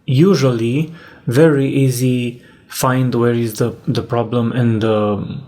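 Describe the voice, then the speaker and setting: A man narrating a hands-on repair in a casual, halting way, thinking aloud as he works. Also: medium pitch, steady tone, dark tone. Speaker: host demonstrating a cassette repair.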